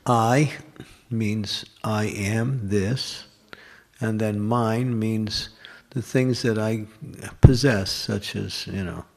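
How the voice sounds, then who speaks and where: Low gravelly man giving a scripture class. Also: fairly even intonation, drawn-out words. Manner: explanatory, asks and answers his own questions; lists examples in short runs. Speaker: lecturer at a temple class.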